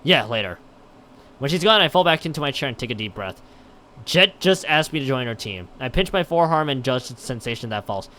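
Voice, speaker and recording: A man's voice: mid-range voice, lively intonation, a little fast, pushed and bright, slight background noise. A man livestreaming, reading a visual novel aloud and chatting, often stumbling and repeating words, with dramatic emphasis. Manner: stumbling over read text, repeating and restarting words. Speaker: live game streamer.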